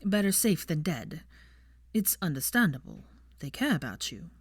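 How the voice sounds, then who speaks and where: Woman reading as a low, guarded young wizard. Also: big pitch swings, moderate pace, slightly rough, no room sound, clean studio sound. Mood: concerned. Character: proud, guarded young wizard man, politely formal. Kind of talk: audiobook character voice.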